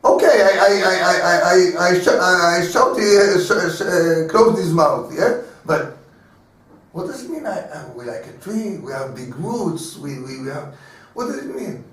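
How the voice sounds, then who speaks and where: Male rabbi, storytelling lecturer, higher voice, recorded from a distance. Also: expressive, a little slow, a bit bright. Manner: storytelling, acting out voices in dialogue. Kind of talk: Torah class lecture. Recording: very echoey room, mic far away.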